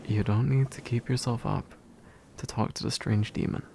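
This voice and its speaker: A man playing a gentle, slightly bashful demon in a soft, soothing, intimate ASMR comfort roleplay. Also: mid-range voice, steady tone, vocal fry, a little hiss or hum, close to an everyday voice. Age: young adult. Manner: soothing, hushed, unhurried, tender.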